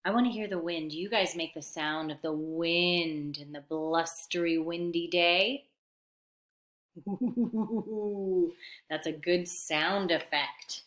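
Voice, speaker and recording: A woman reading a picture book aloud to young children in an expressive, teacherly manner, pausing to explain words. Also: lowish voice. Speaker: storytime reader for young children. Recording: roomy, echoey sound.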